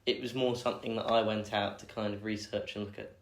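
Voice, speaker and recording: A young man, answering interview questions in a thoughtful, measured way with many pauses and fillers. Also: lowish voice, level tone. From England. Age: young adult. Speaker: guest. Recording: echoey room.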